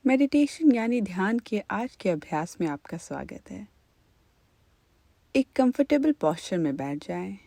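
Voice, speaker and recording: A woman leading a guided meditation as an instructor, in a calm, gentle, unhurried manner. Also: medium pitch, vocal fry, no echo.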